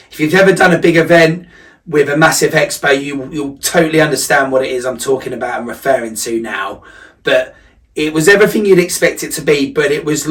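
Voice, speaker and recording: A man's voice: fairly high pitch, a bit bright. Man vlogging, slightly distant mic. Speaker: running coach vlogger. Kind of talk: casual personal vlog recap.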